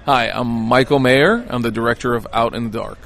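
A man's voice: medium pitch, fairly quick, vocal fry, a bit bright. Man from Israel, conversational interviewee. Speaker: film director being interviewed.

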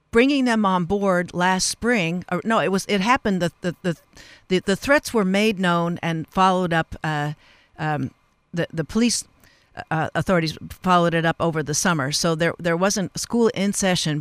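A man hosting a radio interview, speaking in long, halting, rambling sentences that often double back on themselves. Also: high voice, a bit bright, smooth, no echo. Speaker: radio host.

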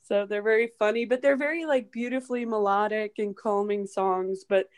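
A woman chatting as a guest in a casual interview, bubbly and quick to laugh. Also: mid-range voice, steady tone.

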